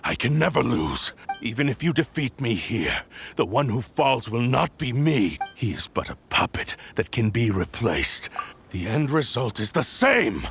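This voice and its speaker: A man's voice: medium pitch, very animated, measured pace, fairly bright tone. An actor playing an arrogant, vengeful male sword spirit that possesses a body, in a haughty, scornful game cutscene voice. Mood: defiant. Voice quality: gravelly. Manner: haughty, scornful villain speech. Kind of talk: video game cutscene voice acting. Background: game score music.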